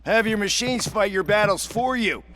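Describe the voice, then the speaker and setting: A man's voice: high voice, moderate pace, fairly bright tone, slight background noise. An actor playing a cool, matter-of-fact male mercenary leader in a game cutscene. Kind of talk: video game cutscene acting.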